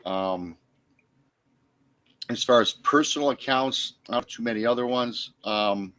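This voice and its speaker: An American man chatting casually as a podcast guest, halting and circling back as he talks. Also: medium pitch, fairly bright tone. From Michigan, United States. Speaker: guest, Bigfoot researcher and podcaster. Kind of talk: casual conversational podcast talk. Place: remote livestream call.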